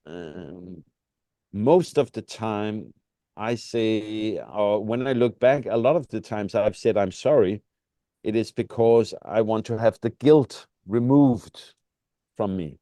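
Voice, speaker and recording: A man's voice: lowish voice, slow pace, mellow, no room sound, studio quality. A middle-aged man, a native Danish speaker with a Danish accent, co-hosting a casual reflective conversation and often searching for words. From Denmark. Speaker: podcast co-host.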